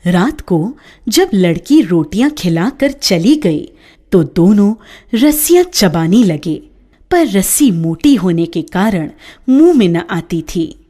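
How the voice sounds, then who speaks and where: A woman narrating a village story in a measured, expressive way. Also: medium pitch.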